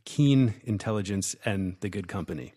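A man with a lowish voice hosts a classical radio show, measured and encouraging toward his young guests. The recording is dry and close, with no room sound. He speaks as both host and pianist. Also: warm tone, no echo. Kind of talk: radio interview hosting.

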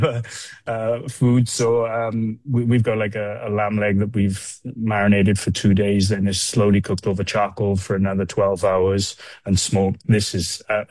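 A man's voice: on the low side, a little mellow, no room sound. A man from Wales answering casually as a chef in an interview, hesitant and often repeating words as he explains. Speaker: restaurant chef being interviewed.

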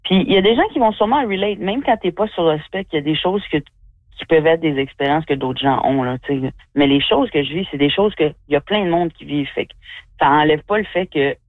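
A woman's voice: low voice, animated, brisk, no echo. A woman from New Brunswick, a comedian chatting effusively in an interview, full of fillers. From New Brunswick, Canada. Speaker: comedian and guest. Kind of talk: interview answers. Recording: tinny phone-like line.

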